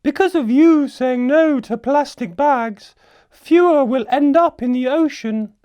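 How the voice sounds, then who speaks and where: A woman playing a huge, gentle, very old female leatherback turtle in a storybook, speaking slowly and deliberately. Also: high voice, voice pushed up. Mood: hopeful, grateful. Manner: slow, deliberate, drawn-out delivery. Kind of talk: storybook character voice.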